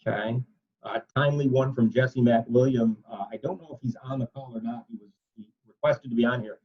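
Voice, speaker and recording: A man's voice: mid-range voice, fairly even intonation, a little fast, slightly rough. A man moderating a media conference call, casually and chattily relaying questions in loose wording, over lo-fi call audio. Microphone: video conference call.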